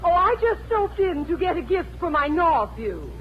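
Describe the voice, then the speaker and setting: An actress playing a gushing lady with a comic mangled dialect and drawn-out vowels in a 1940s radio comedy. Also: extremely high pitch, big pitch swings, lo-fi sound. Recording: very noisy old radio recording.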